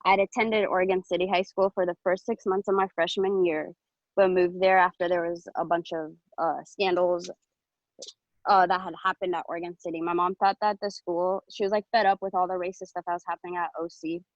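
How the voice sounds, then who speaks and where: A young woman from Ethiopia reads her own speech over a video call, earnest and personal, pausing with fillers. Her voice sits a little low and is dry and close, and the call audio sounds dull and lo-fi. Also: flat delivery, no room sound. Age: around 20. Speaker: public commenter. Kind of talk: reading a prepared speech.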